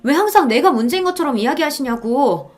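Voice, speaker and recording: A woman's voice: high-pitched, lively intonation, brisk, faint music behind, voice pushed up. A woman voicing an aggrieved wife in a story, complaining to her husband with indignant reproach. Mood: hurt indignation.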